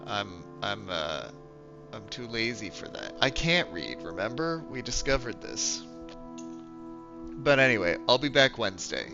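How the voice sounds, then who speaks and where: A congested man with a low, gravelly voice drawls through his game stream at an unhurried pace, rambling and poking fun at himself. He is losing his voice. The sound is dull and lo-fi, with music playing clearly behind him. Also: big pitch swings. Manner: low-key, rambling, self-mocking. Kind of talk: casual game commentary.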